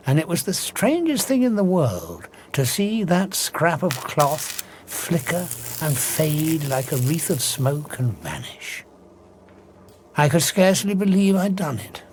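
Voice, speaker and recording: An actor playing an obsessive, cold scientist who tells his story with theatrical, savouring relish in an audio drama. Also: a little high. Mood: darkly exultant. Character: obsessive scientist, invisible man, darkly exultant and cold.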